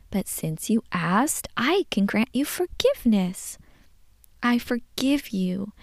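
Woman whispering as a tender, loving Jesus writing to a child, slow, gravelly. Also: medium pitch, dry recording, clean studio sound. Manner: soft whisper.

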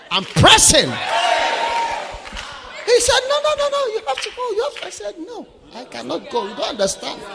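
Animated preacher man in echoing hall. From Africa. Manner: animated, swings from shouting to low asides. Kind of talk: sermon. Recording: live sermon with long hall reverb.

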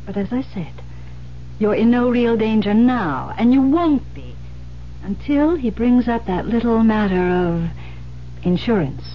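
An actress playing a firm, persuasive investigator in an old-time radio drama, speaking in a deliberate, emphatic manner. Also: female voice, a little high, animated. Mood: coaxing, firm. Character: firm, persuasive female investigator recruiting a bait. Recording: muffled vintage radio recording, humming hiss.

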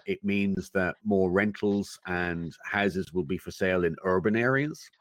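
Guy with a low deep voice, thoughtful interview answers with pauses, really dry sound. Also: no echo. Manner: thoughtful, measured, halting with pauses. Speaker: interview guest, technology commentator. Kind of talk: conversational interview, giving considered opinions.

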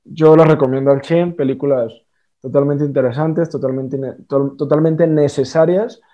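A man's voice: fairly high pitch, fairly even intonation, moderate pace, warm tone, muffled recording. A man hosting a casual podcast interview, friendly and a bit hesitant, full of fillers. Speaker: podcast host. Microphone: remote online call.

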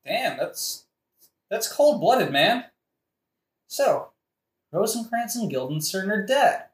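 Deadpan best friend played by a woman, echoey. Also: mid-range voice, slow pace. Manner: dry, deadpan. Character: deadpan, unimpressed male friend of the prince. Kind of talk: modern comedic audio play acting. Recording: roomy echo.

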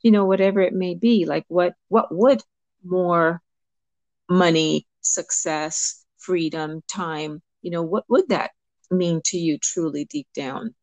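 A middle-aged woman from Cuba, a guest coach, speaking thoughtfully with ums and pauses. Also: on the low side, dry recording. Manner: warm, reflective, pauses with ums. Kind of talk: interview conversation.

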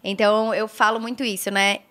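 A woman's voice: mid-range voice, flat delivery, brisk, pushed and bright. A young woman with a Brazilian accent and a pulled Brasília r, telling stories casually and informally as an interview guest. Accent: Brazilian, with strong Brasília r. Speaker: guest, fashion brand founder. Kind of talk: casual conversational storytelling.

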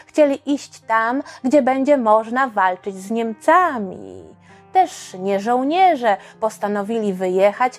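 Female podcast storyteller, higher-pitched, slow delivery, colloquial and expressive, for a children's history tale. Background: background music.

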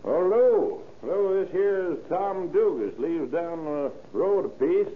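An actor playing a folksy, welcoming old gold prospector with a rustic way of talking in an old radio drama. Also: male voice, on the high side, animated, measured pace. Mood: hospitable. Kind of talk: 1940s radio acting. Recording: tinny, noisy old radio broadcast.

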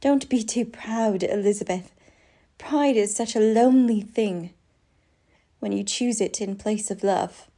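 A woman playing a gentle, heartbroken young sister in a radio drama, speaking in a tender, earnest, theatrical way. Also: medium pitch, unhurried. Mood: tender concern.

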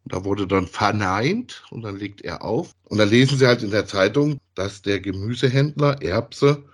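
A man's voice: fairly low pitch, normal speed. A man co-hosting a casual review podcast, retelling a story in an easygoing, colloquial way. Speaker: podcast co-host. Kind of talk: casual review chat about an audio drama.

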